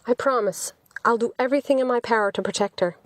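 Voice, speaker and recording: A woman's voice: on the high side, fast talker, pitched above the performer's own voice, lightly acted. Woman reading as an earnest, devoted young man. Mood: resolute.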